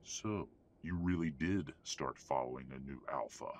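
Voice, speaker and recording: A man playing a haughty, middle-aged werewolf patriarch in an audio drama, speaking in a formal, measured, condescending way. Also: rumbling low, no echo, heavily acted. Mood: coolly disapproving. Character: haughty, domineering old-money werewolf patriarch father. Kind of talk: audio roleplay drama acting.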